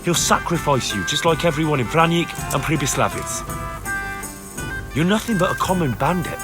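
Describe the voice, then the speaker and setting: Young game interrogator, male actor, taunting and probing, higher voice over clear music. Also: lively intonation, normal speed, lightly acted. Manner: scornful, provoking. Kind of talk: video game cutscene acting.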